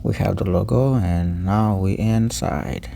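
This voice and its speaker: A man giving an unscripted step-by-step repair tutorial, pausing mid-sentence and repeating words as he goes. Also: sits low, gravelly, dark tone, slight background noise.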